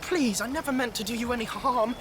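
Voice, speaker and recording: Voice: medium pitch, fairly quick, noisy background, natural voice. A performer playing an earnest young male pine marten adventurer with polite, genteel, old-fashioned turns of phrase. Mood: flustered, apologetic. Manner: genteel, old-fashioned British phrasing, stammers when flustered. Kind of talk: classic storybook audio drama acting.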